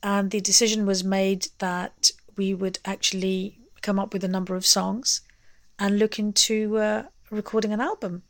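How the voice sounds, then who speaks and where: A woman's voice: medium pitch, level tone, moderate pace, no echo. A woman telling personal memories conversationally, leaning on key words as she goes. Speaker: interview guest, singer. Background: music.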